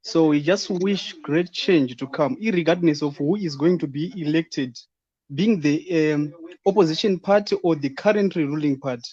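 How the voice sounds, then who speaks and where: A man's voice: on the high side, moderate pace, mellow, muffled recording. A young man calling in to share his view, speaking earnestly and politely. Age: young adult. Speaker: caller. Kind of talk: call-in opinion.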